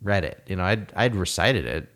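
A man's voice: sits low, expressive, moderate pace, fairly bright tone. A man talking in a casual conversation, reflective and rambling, with many fillers and restarts. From United States.

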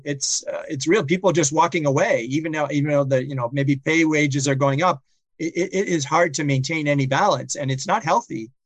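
A man's voice: fairly high pitch, no room sound. A man hosting a podcast interview, repeating words as he starts his questions and remarks. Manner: warm, stammering repeats at phrase starts. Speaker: podcast host. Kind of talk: casual interview conversation.

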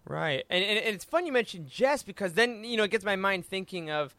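A man's voice: high-pitched, lively intonation, brisk, pushed and bright, no room sound. A man co-hosting a podcast interview, chatting casually and with enthusiasm. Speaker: podcast co-host.

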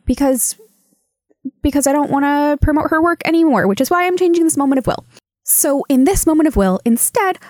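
Female podcast contributor, fairly high voice, informal and earnest recommendation style, very dry close sound. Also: darkish tone, dry recording. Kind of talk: casual book recommendation.

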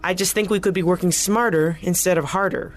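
A woman playing a cautious, defensive reformer with a measured way of talking in an audio drama. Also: on the low side, steady tone, soft background music, close to an everyday voice. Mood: frustrated. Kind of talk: naturalistic audio drama acting.

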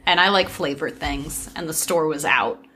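A woman's voice: low voice, moderate pace, sharp tone, slight background noise. A woman hosting a casual podcast, chatting breezily with friends and leading into a folk tale. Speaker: podcast host. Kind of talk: casual storytelling chat with friends.

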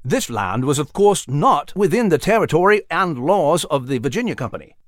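A man's voice: a little high, very animated, moderate pace, dry recording, studio quality. A man voicing an erudite male animal scholar in an audiobook story, explaining history in a precise, proper lecturing manner. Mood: earnest, explaining.

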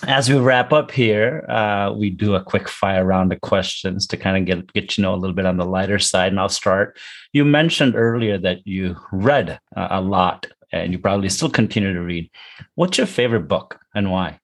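A man's voice: sits low, moderate pace. A man hosting an interview on a video call, affirming his guest and moving to the next questions. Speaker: podcast host.

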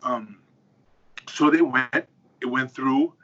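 A man's voice: medium pitch, fairly even intonation, slow, fairly bright tone. A man from Liberia speaking as a podcast guest, conversational and explanatory, over a lo-fi remote call. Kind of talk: conversational interview. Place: at his workplace, on a remote call.

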